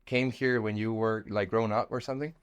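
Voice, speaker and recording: A man from Latin America hosting a podcast, upbeat and quick as he opens the show and asks his guest questions. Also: fairly low pitch, fairly even intonation, smooth. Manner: upbeat, quick intro patter. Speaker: podcast host. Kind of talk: podcast interview.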